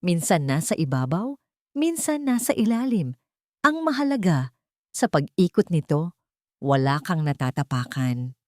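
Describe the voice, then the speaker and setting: A woman voicing an older market vendor woman, quiet and understanding. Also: on the low side, sing-song, measured pace, pauses often, no echo, clean studio sound. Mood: gentle. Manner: gentle, understanding.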